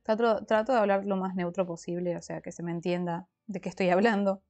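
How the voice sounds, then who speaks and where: A woman's voice: fairly low pitch, fairly even intonation, brisk, darkish tone, faint music behind. A woman from Argentina with a Rioplatense accent, a former language teacher who chats casually with her chat on a crafting stream. She sounds a little congested from allergies. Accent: Rioplatense Argentine Spanish. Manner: chatty, explains at length, answers chat. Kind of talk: casual livestream chat reading comments while crafting.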